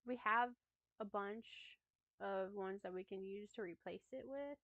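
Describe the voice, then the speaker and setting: Female livestreamer, slow and hesitant with a creaky edge, casual off-the-cuff chat. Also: mid-range voice, a bit bright, dry recording. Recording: thin phone-like lo-fi audio.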